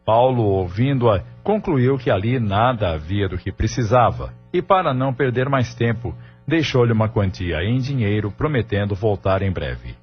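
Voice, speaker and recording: Male narrator, low pitch, scripted storytelling, audio drama, dull recording, soft background music. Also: animated, normal speed. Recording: muffled studio narration over music.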